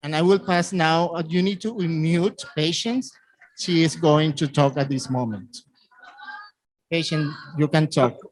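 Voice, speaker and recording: A man from Venezuela with a Venezuelan Spanish accent gives a conference presentation in halting, simply phrased second-language English. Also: fairly high pitch, poor audio. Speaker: community presenter.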